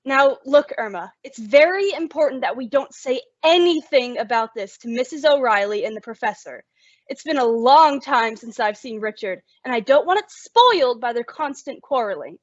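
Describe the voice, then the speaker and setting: A woman's voice: fairly high pitch, expressive, moderate pace, no room sound, dull audio. A woman playing a sensible, wry young working woman in an old-time radio sitcom, patient but exasperated.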